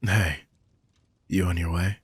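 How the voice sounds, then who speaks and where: A man playing a guilt-ridden, tender supernatural protector in an intimate audio drama, speaking gently and hesitantly with a husky, breathy voice that slips toward whispers. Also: sits low, fairly even intonation, takes it slowly, no echo, studio quality, heavily acted. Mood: weary, worried. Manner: gentle, hesitant, sighing delivery.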